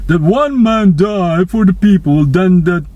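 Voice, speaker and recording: A man voicing a scornful, calculating high priest in a Bible story, haughty and declamatory. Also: lively intonation, measured pace, a little mellow, muffled recording.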